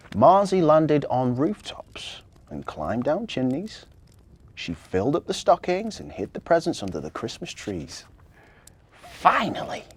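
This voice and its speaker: Male story reader: slightly high, breathy-husky voice, hugely varied intonation, children's storytelling.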